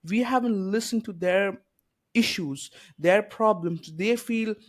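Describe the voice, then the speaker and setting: A podcast host makes the case earnestly and with strong opinions in a casual conversation. The voice sits at a middling pitch, and "you know" keeps coming up between rhetorical questions. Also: slow pace.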